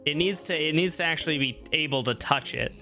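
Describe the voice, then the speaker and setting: A man's voice: fairly high pitch, a little fast, sharp tone, faint music behind. A young man streaming a game, rambling and joking at himself as he thinks aloud. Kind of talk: casual live-stream gameplay talk. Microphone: streaming microphone.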